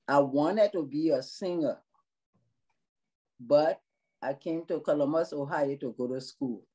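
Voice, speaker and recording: Slow, mellow-voiced Liberian woman. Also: sits low, husky, low-quality recording. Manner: slow, earnest, measured. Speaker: nursing assistant in long-term care. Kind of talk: interview on video call. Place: small room indoors. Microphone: video call.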